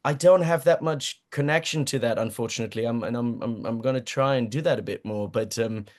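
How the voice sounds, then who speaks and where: German-native guy rambling along in an interview, mid pitch, laughs a bunch, keeps restarting. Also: brisk. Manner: rambling, self-deprecating, laughs often, many restarts. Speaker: guest voice actor. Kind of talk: casual interview chat.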